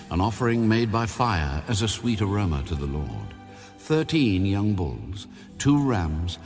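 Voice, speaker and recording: A man giving a measured, formal and solemn scripted narration of scripture. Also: sits low. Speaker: audio Bible narrator. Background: music.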